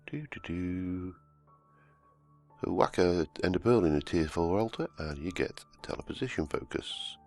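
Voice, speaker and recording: A man, croaky from a cold, hosting casual gameplay commentary and rambling as he plays. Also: low voice, expressive, unhurried. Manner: rambling, self-correcting, humming to himself. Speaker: let's-play host. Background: soft background music.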